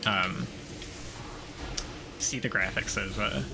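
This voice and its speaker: A man's voice: lowish voice, fairly even intonation, slow, heavy background noise. A man giving casual live commentary as he plays a video game, rambling and thinking aloud in a blunt, informal way. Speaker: streamer doing a game playthrough.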